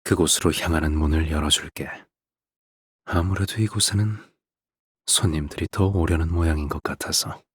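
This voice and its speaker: A very low, husky father figure from a video game. He speaks softly and deliberately, as if reading a storybook to his child. Beneath the gentle coaxing sits a controlling, quietly menacing temper. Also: steady tone. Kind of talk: scripted game voice acting.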